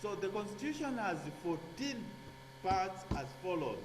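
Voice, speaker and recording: A man giving a classroom lecture, halting with many fillers and restarts, checking in with "okay?". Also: high voice, very animated. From Zambia. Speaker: nursing lecturer. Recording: echoey hall with hum.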